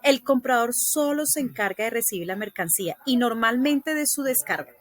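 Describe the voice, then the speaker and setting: A woman's voice: fairly high pitch, expressive, normal speed. A woman presenting a scripted explanatory lesson, reading aloud in a didactic way with occasional stumbles.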